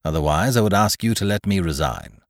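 A man's voice: deep voice, lively intonation, a little fast, no room sound, studio quality, pitched above the performer's own voice. A man voicing a stern, blunt, dutiful sea captain with a formal, firm way of talking, in a storybook reading. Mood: firm, resolute. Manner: formal, firm, plain-spoken.

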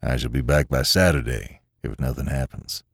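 Storybook old farmer, acted by a male reader: deep, gruff, solemn, rustic, few words. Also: fairly even intonation, normal speed, a bit bright, no room sound, clean studio sound, heavily acted. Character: stern taciturn old farmer husband, defiantly solemn.

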